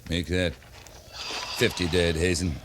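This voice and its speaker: An actor playing a gruff, weary, commanding cavalry captain with clipped orders in a 1950s western radio drama. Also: male voice, low-pitched, level tone, measured pace. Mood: grim resolve. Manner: terse, commanding. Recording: faintly noisy 1950s radio recording.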